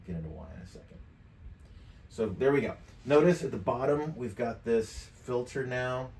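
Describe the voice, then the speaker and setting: A man in his fifties giving a casual software tutorial, thinking aloud in an offhand, mildly profane way. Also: medium pitch, lively intonation, normal speed. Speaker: tutorial presenter. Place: indoor home studio desk. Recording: roomy, distant mic, background noise.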